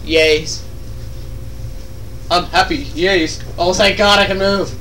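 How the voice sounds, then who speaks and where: A young man with a high, bright voice plays a video game with a friend. He jokes crudely and grumbles in exasperation. The mic is at a distance, with faint noise and game sound behind him. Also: fairly even intonation, slow pace. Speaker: let's play commentator. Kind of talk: casual gaming commentary with a friend.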